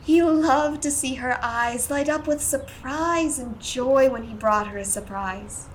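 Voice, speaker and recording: Higher-voiced woman narrating a radio play. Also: measured pace, a little hiss or hum, rough recording.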